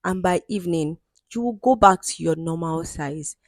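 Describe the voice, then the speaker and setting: A woman voicing a weak, kindly old woman of the forest in a folktale, gentle and wise. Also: on the low side, normal speed, pitched below the performer's own voice. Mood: instructing.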